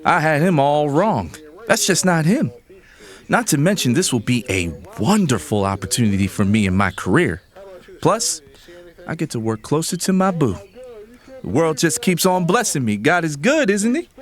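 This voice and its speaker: A man playing an upbeat, flirtatious, easygoing fiancé in a romantic audio drama, playful and smooth-talking. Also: on the high side, very animated, moderate pace. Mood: upbeat, earnest.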